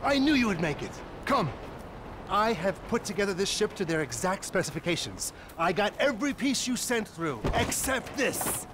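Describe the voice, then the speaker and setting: An actor playing an earnest, excitable eccentric true believer in a video game cutscene. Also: male voice, high-pitched, moderate pace, noisy background. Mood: fervent wonder. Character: wide-eyed, excitable eccentric man obsessed with alien believers' mission.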